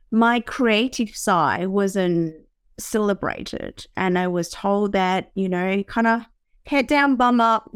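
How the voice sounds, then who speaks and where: Slow, smooth-voiced woman from Vietnam. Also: medium pitch, dry recording, studio quality. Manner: slow, searching, with many fillers and restarts. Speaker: podcast host. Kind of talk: reflective personal talk.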